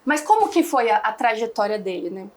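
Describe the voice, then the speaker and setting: A woman's voice: fairly high pitch, sing-song, fairly quick. A young Brazilian woman talking casually as an interview guest, chatty and rambling with many fillers. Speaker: guest, founder of an online English school.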